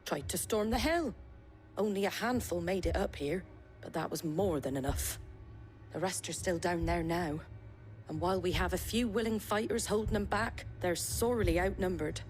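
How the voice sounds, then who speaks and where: A woman's voice: on the low side, moderate pace, darkish tone, natural voice. A woman playing a tough, plain-spoken innkeeper in a video game, wry, blunt and matter-of-fact. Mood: weary, urgent. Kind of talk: dramatic cutscene acting. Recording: music behind the voice.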